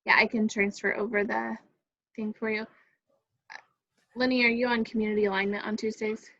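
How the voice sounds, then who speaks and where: Female coordinator, very bright and fairly high voice, hesitant meeting talk, slightly muffled call audio. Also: flat delivery, moderate pace. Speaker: activities coordinator scheduling rehearsals. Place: remote video call.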